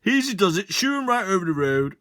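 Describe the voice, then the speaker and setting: A man's voice: fairly high pitch, sing-song, moderate pace, no echo, clean studio sound, voice pushed up. A man voicing a sly, commanding village policeman who speaks in a bluff, hearty manner. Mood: mock-helpful.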